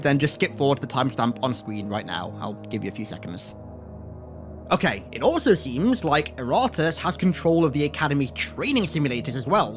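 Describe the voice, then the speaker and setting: A man hosting a gaming video, delivering casual scripted commentary, talking straight to viewers with wry asides. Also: on the high side. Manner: conversational, direct address to viewers, wry asides. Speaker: gaming video creator and host.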